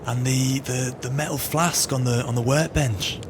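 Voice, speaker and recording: Casting: man playing calculating male crook for audio drama, wry and scheming, medium pitch, clear noise behind. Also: steady tone, normal speed, lightly acted. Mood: cold scheming. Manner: wry, scheming, colourful phrasing. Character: cold, calculating male swindler plotting murder. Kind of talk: audio play acting.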